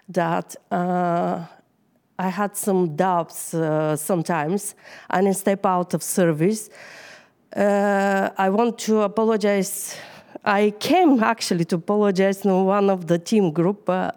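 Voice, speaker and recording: Bulgarian lady talking at church, slow, lots of uhs, mellow low tone. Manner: hesitant, many fillers. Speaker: church member giving a talk. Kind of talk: informal sermon.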